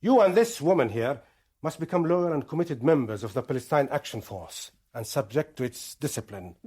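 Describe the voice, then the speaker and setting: An actor playing a Palestinian militant commander in a radio drama, deliberate, formal and imperious, with a menacing courtesy. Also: male voice, mid-range voice, lively intonation, warm tone, slightly rough. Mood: coolly authoritative.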